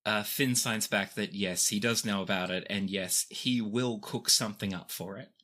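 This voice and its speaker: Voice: low voice, moderate pace, sharp tone. A game master improvising narration for a tabletop game in a playful, descriptive storytelling way.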